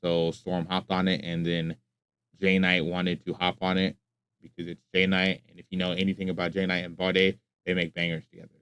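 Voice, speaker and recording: A man's voice: low-pitched, completely flat delivery, a little fast, a bit bright, clear, resonant tone, no echo. A man hosting a music reaction video, talking casually, bluntly and with plenty of swearing. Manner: blunt and profane, speaks his mind.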